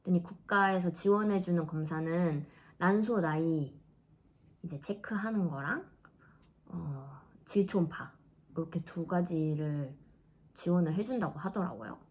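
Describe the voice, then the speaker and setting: A woman in her thirties chatting casually in a vlog, laughing often and dropping into soft asides. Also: on the low side, a little slow. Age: 30s. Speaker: vlogger.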